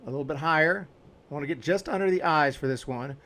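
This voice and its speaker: A man's voice: fairly high pitch, fast, a bit bright. A man hosting a video editing tutorial, talking casually and informally as he explains each step while clicking along.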